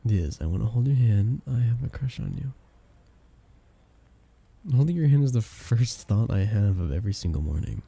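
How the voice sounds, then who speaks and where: A man's voice: lowish voice, animated, unhurried, vocal fry, pauses often, warm tone, breathy edge, full character voice. A man playing a shy young guy confessing love to a best friend in an intimate ASMR romance roleplay, tender and hesitant. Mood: playful, affectionate.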